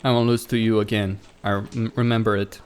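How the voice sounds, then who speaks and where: A man's voice: on the low side, little pitch movement, fairly quick, lightly acted. A man voicing a determined young pro gamer in a visual novel, terse and indignant. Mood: defiant.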